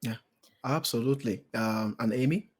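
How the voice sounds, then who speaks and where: A man's voice: medium pitch, normal speed, darkish tone. Nigerian man hosting a podcast. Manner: hesitant, repeats words, many fillers. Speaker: podcast host. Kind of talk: conversational interview.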